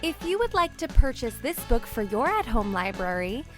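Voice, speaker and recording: A woman's voice: on the high side, big pitch swings, moderate pace, faint music behind. A woman narrating a children's storybook expressively, with playful, dramatic emphasis. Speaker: children's book read-aloud presenter.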